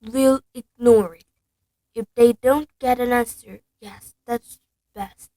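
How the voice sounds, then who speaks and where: A woman voicing a blustering, bullying middle-aged man who shouts and sputters. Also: on the high side, fairly even intonation, takes it slowly, no echo.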